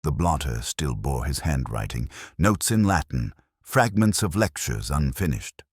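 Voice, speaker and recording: A man narrating a first-person detective story in a measured, literary, brooding manner. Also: extremely low pitch, lively intonation, husky, no room sound, studio quality.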